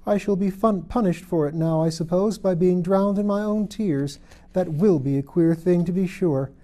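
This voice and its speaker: A bewildered little storybook girl, acted out by a man, pitched up high above his narration. The voice is prim and exclamatory, fretting and feeling sorry for herself as she chatters away. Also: moderate pace, mellow, natural voice. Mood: dismayed. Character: bewildered young girl, chatty, fretful and self-pitying. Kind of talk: storybook character voice.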